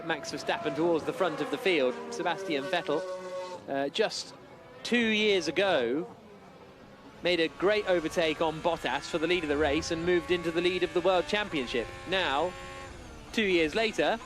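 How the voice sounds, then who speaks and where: A man giving live commentary on a motor racing session as the lead commentator. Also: on the high side.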